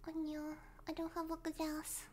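Young woman, very high girlish voice, slow pace, soft breathy whispering, playful ASMR stream chat. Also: monotone, a little hiss or hum. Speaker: ASMR livestream host. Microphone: binaural ear microphone.

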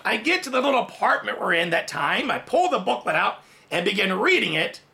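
A man in his forties, raised in Thailand, preaching a sermon in his study and telling a personal testimony with lively hand gestures, recorded on a camera at desk distance. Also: high voice, a little fast, creaky. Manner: animated storytelling with big hand gestures. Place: home study with bookshelves, indoors.

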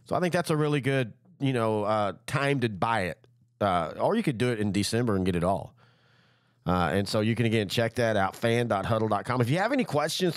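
A middle-aged man with a congested head cold, hosting a high school football show in a rambling, enthusiastic way. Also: no room sound, studio quality. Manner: rambling, self-correcting, enthusiastic. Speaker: sports show host. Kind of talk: casual sports talk. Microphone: studio mic.

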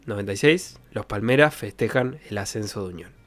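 A man's voice: fairly low pitch, lively intonation, a little fast. A young man with a Santa Fe Argentine accent, chatting casually and colloquially on a livestream in a jokey storytelling way. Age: young adult. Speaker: streamer. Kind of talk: casual livestream chat, reminiscing. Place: bedroom streaming setup. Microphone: close desk microphone with pop filter.

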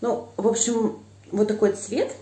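A woman's voice: mid-range voice, little pitch movement, measured pace, mellow, roomy sound. A woman in her thirties chatting to her viewers in a casual home vlog, filmed on a phone held at arm's length. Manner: chatty, addresses viewers directly. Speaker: vlogger. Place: small room indoors at night.

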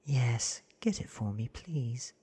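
A man voicing a cool, commanding, secretive vampire woman from a storybook in a clipped, breathy ASMR whisper. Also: mid-range voice, animated, slow, warm tone, pitched above the performer's own voice, exaggerated voice. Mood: cool, brisk.

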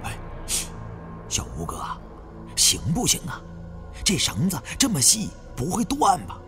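A man's voice: high-pitched, huge pitch swings, a little slow, fairly bright tone, soft background music, pitched above the performer's own voice, heavily acted. A man voicing a timid, doubting older male advisor in an audiobook, anxious and questioning. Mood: fretful.

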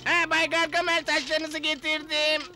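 A man's voice: extremely high pitch, monotone, measured pace, sharp tone, faint music behind, full character voice. A man playing a tiny, clumsy, gullible cartoon creature with an eager, polite manner. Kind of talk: animated cartoon dubbing.

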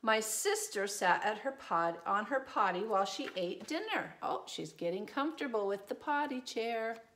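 Female, middle-aged. Preschool read-aloud, high voice, very lively intonation, gentle and reassuring. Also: moderate pace. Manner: gentle, reassuring, simple phrases for young children. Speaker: preschool teacher reading a picture book aloud. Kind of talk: children's storybook read-aloud with simple asides. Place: small indoor room with desk and shelves.

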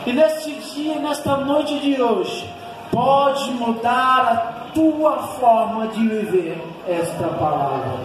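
High-voiced Northeastern Brazilian pastor preaching. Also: male voice, animated, slow pace, slight background noise. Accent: Northeastern Brazilian Portuguese. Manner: impassioned preaching, surging then dropping low. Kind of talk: sermon. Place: small tiled church hall, indoors. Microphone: handheld mic at a pulpit. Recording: echoey hall, distant camera, loud music.

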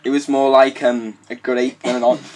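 A young adult British man making a casual prank call in a halting, hesitant manner. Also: mid-range voice, flat delivery, a little mellow, low-quality recording. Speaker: prank caller.

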